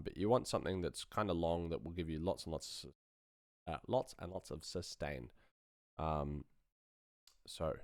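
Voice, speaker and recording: Male sound designer, deep voice, close dry mic, informal thinking-aloud tutorial delivery. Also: no room sound. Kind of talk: casual live-stream tutorial.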